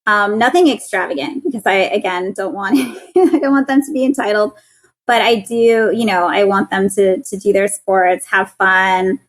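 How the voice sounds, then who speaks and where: A woman's voice: mid-range voice, normal speed, low-quality recording. A woman in her thirties with a young-sounding voice, a guest in a casual interview, talking earnestly with frequent laughs and restarts. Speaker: guest, patent attorney.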